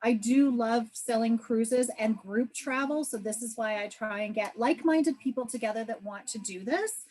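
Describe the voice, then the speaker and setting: A woman's voice: a little high, normal speed, few pauses, darkish tone, poor audio. A woman travel agent from Canada hosting a video call presentation, upbeat and chatty. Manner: upbeat, chatty, many fillers.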